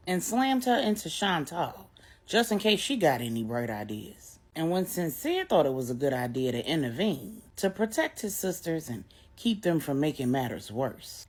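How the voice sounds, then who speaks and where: A woman narrating a dramatic story for adults in a wry, deliberate, emphatic way. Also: medium pitch, breathy edge.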